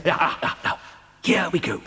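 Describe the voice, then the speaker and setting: A man playing an eccentric, excitable chocolate factory owner and inventor in a children's audio drama, theatrical, with stammering, hesitant repetitions. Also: unhurried. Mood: boastful, confiding. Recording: long hall-like reverb.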